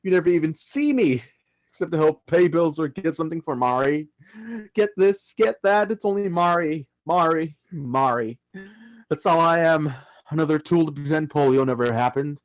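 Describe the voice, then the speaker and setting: An actor playing an angry, resentful teenage brother in a radio play, with bitter, emphatic short phrases. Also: male voice, fairly high pitch, moderate pace, dry recording, close to an everyday voice. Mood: bitter rage.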